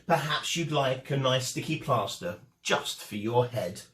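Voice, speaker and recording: A kindly, plucky little girl princess in a storybook, as a man reads her, unhurried and varied in pitch. She is outspoken, and the room adds some echo. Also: lively intonation, a bit bright. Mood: kind, soothing.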